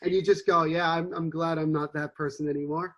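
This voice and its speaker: A man's voice: a little high, brisk, background noise. A man in his twenties co-hosting a podcast, chatting casually and acting out the people in his stories. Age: 20s. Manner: animated storyteller, acts out quotes. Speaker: podcast co-host. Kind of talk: casual chat.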